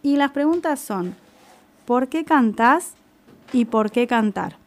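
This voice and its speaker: A woman's voice: fairly high pitch, animated, slow pace. Woman hosting community radio. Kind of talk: radio interview and read introduction.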